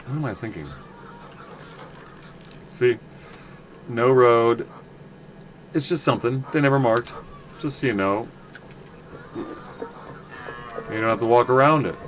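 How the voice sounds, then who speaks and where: A man casually commenting on his game for viewers, rambling and correcting himself as he goes, with a loud voice. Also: medium pitch, slow pace, long pauses, background noise. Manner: rambling, self-correcting, chatty asides to viewers. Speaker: livestream game player.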